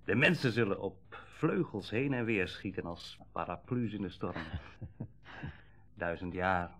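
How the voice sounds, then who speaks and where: An actor playing a kindly, frank middle-aged used-car dealer in a radio play, talking in a reflective, conversational way. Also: male voice, low-pitched, unhurried, fairly bright tone, heavily acted. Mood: musing. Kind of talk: Dutch radio play acting, naturalistic dialogue.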